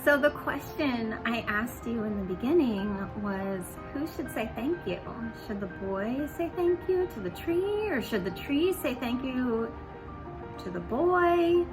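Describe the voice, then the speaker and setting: A woman's voice: a little high, animated, a little slow, music in the background, rough recording. A woman reading a storybook aloud and chatting casually to young viewers and families. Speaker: teacher reading aloud to children.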